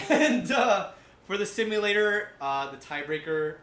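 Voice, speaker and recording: A man's voice: high-pitched, animated, measured pace, a bit bright. A man hosting a casual film podcast, chatting in a jokey, playful way. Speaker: podcast host. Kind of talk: casual chat about films. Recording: roomy echo, faint background noise.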